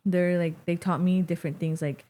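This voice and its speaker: A woman's voice: on the low side, steady tone, normal speed, mellow. A young woman with a Mexican American accent, a podcast guest talking casually in a rambling way, full of "like". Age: young adult. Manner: rambling, heavy use of "like". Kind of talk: casual interview chat. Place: small studio set with wooden tables. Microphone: boom-mounted studio mic.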